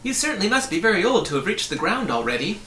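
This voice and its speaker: A man's voice: sits high, big pitch swings, fast talker, sharp tone, echoey room, recorded from a distance, slight background noise, voice pushed up, heavily acted. A man voicing an earnest, polite, curious young boy in a storybook, speaking plainly.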